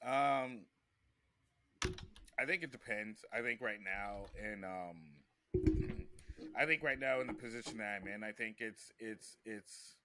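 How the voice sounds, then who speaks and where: A man's voice: on the low side, takes it slowly, long pauses, background noise. A man hosting a casual conversational podcast, often restarting his phrases as he works toward his point. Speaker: podcast host.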